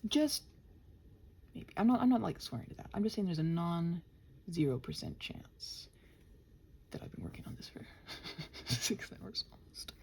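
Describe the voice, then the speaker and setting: A woman in a casual, improvised stream-of-consciousness ramble, halting and interrupting herself, often slipping toward a half-whisper, with a gentle encouraging manner. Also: fairly low pitch, sing-song, vocal fry, a little hiss or hum. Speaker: host of an improvised ramble audio for body doubling.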